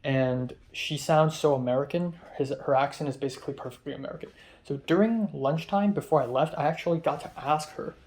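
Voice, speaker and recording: A man's voice: fairly high pitch, brisk, a little mellow. Chatty young man from Hong Kong. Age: young adult. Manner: rambling, chatty, many ums and restarts. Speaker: film student. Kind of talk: casual vlog recap.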